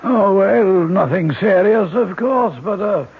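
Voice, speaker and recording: An actor playing a dismissive, matter-of-fact old English doctor with a British accent in a radio drama. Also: male voice, high-pitched, slow, creaky, breathy edge, soft background music, heavily acted. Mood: dismissive, musing. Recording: tinny old radio broadcast.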